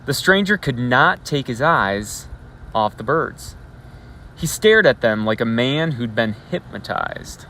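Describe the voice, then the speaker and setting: A man reads a storybook aloud with expression, pausing for asides and questions to his listeners, recorded outdoors on a live feed. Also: mid-range voice, expressive, bright, forceful tone, a little hiss or hum. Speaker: elementary school educator reading a bedtime story. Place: outdoors on a school roof at night.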